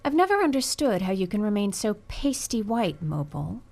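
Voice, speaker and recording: A woman's voice: mid-range voice, sing-song, moderate pace, creaky, a little mellow. A woman playing a sarcastic, spiteful prodigal daughter of a rich family in an audio drama. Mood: scornful. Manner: sarcastic, mocking, insolent.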